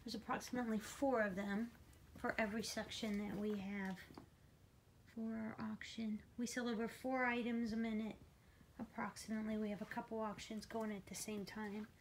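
A woman with a fairly high voice gives a casual, unscripted sales walk-through as an auction house presenter. She is recorded on a handheld phone in a big indoor warehouse, with noticeable background noise around her. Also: flat delivery, moderate pace. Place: large furniture warehouse, indoors. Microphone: handheld phone camera, speaker behind it.

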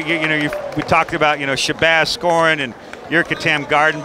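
A middle-aged man interviewing after a game as a sports broadcaster, conversational, speaking into a headset mic. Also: on the high side. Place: courtside in an indoor arena. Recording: crowd noise in busy arena.

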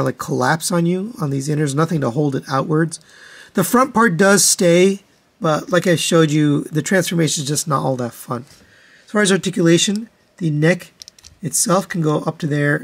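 Higher-pitched man casually reviewing toys. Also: creaky, slightly rough. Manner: methodical, matter-of-fact, hands-on demonstrating. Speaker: toy reviewer. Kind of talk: casual product review commentary.

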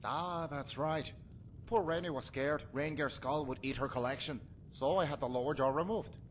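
A man's voice: a little high, moderate pace, a little hiss or hum, close to an everyday voice. Man playing folksy, darkly cheerful rustic father. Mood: breezily macabre. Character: jovial superstitious rustic husband and father, callous, cheerfully macabre. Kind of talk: video game character acting.

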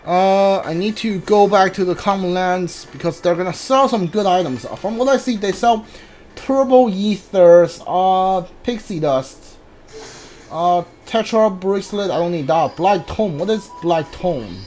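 A man casually commentating on his video game playthrough, haltingly thinking aloud and mumbling as he reads. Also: sits high, darkish tone, soft background music.

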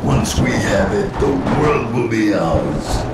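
A man playing a solemn, scheming cult leader in a video game, speaking in a grave, measured way. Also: lowish voice, animated, over loud music. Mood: menacing calm. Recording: very echoey, distant, loud storm noise.

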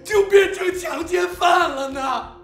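A man's voice: very high-pitched, slow, fairly bright tone, raised voice, full character voice. A man playing an anguished middle-aged prisoner in a film drama, crying as he pleads his innocence. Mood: anguished, tearful. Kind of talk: emotional screen drama acting. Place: bare prison interview room. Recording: echoey room with music behind.

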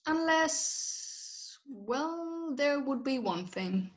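A young woman playing a dismissive, patronising police officer in a drama, measured and condescending. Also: high voice, animated, exaggerated voice. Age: young adult. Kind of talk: radio-play acting over video call.

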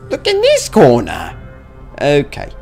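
A man's voice: a little high, huge pitch swings, slow pace, creaky, a little mellow, faint music behind. A man giving casual, goofy let's play commentary on a horror game, talking to himself as he plays.

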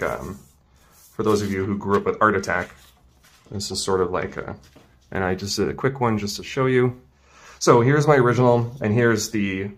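A man with a fairly low voice walks through a hands-on task at a work table. He speaks informally, thinking aloud as he goes, like an instructor showing a craft. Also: a bit bright. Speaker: tailoring instructor. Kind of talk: casual hands-on demonstration.

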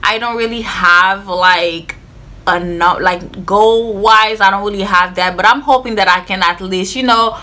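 A woman's voice: mid-range voice, fairly even intonation, unhurried, pushed and bright. A young woman in her twenties with a Haitian accent, chatting earnestly to camera in her bedroom with lots of "like" fillers. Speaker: vlogger. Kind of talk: casual chat to camera. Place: bedroom, indoors.

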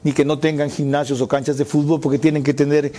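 Middle-aged man, assertive radio commentator, somewhat high voice, studio mic. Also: level tone, quick pace, warm tone. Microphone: studio mic on a desk.

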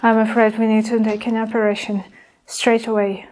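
A young woman playing a sinister nurse-doctor in an ASMR roleplay, speaking softly and reassuringly in measured phrases, often whispering. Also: on the high side, level tone, natural voice, rough recording. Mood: grave, reassuring. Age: young adult. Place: small indoor room with curtain backdrop.

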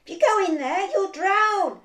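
A woman's voice: extremely high pitch, animated, unhurried, fairly bright tone, pitched above the performer's own voice, exaggerated voice. A woman voicing a worried male disciple in a Bible story, scolding and pleading insistently, in an echoey room. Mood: scolding, anxious. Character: worried, scolding male friends of Peter urging sense. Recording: roomy echo.

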